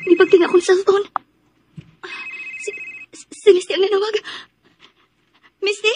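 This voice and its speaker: A woman's voice: very high voice, flat delivery, moderate pace. An actress playing an anxious, clinging middle-aged mother in a radio drama, hesitant and plaintive as she speaks. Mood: excited.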